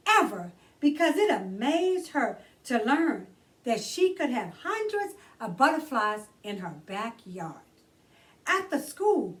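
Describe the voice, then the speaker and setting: A woman reads a children's story aloud as a storytime host, with a high voice that swings widely in pitch. Her delivery is slow and deliberate, leaning on key words with drawn-out emphasis. She sounds slightly distant from the microphone.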